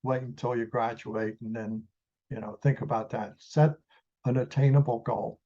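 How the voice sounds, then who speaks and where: Dark-voiced man in his eighties from the Netherlands. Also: medium pitch, steady tone, normal speed, dull audio. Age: 80s. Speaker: interview guest, retired engineer. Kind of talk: reminiscing in an interview.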